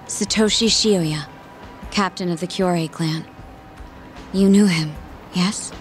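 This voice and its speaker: A serious, composed woman in a video game, speaking slowly and carefully with a lowish voice. Soft music sits behind her. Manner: calm, measured, serious. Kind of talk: video game dub acting.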